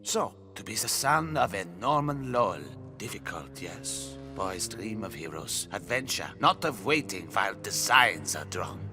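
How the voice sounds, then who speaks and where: An actor playing a suave, menacing colonel, formal and mock-courteous yet commanding, in an audio drama. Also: male voice, fairly low pitch, very animated, a little slow, few pauses, music in the background. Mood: suave menace. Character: suave, menacing male Nazi colonel and airman, villain.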